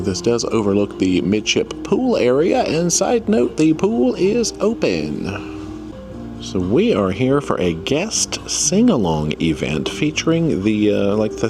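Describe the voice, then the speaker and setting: A man giving casual, chatty and jokey vlog commentary as he goes along. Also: mid-range voice. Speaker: travel vlogger.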